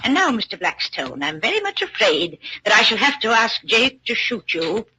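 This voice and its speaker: A woman's voice: a little high, wildly swooping pitch, normal speed, sharp tone, full character voice. A woman acting a genteel villainess in an old-time radio drama, prim and politely threatening. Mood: politely menacing. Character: genteel, coolly menacing villainess, polite about murder. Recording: hissy vintage radio recording.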